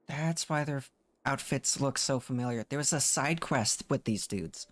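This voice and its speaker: A man's voice: medium pitch, normal speed. A man streaming a story game, reacting casually and thinking aloud in a puzzled way. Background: game music.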